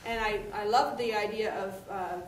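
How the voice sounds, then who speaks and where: A woman farmer speaking as a panelist at a symposium, candid and lively, heard in an echoey hall some way from the microphone. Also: medium pitch, moderate pace. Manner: candid, lively, laughs often. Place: university lecture hall. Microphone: room microphone at a podium. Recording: echoey hall, far from mic, noisy.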